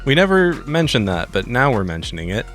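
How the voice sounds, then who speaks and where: A man's voice: medium pitch, very animated, brisk, a bit bright, faint music behind. A man co-hosting a game podcast as a player, in casual, dry, teasing banter.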